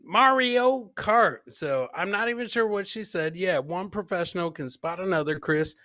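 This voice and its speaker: A man's voice: sits high, lively intonation, moderate pace, pushed and bright, no room sound, studio quality. A man hosting a casual live comedy show, commenting on clips, repeating his phrases and talking to his producer and viewers. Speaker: podcast host.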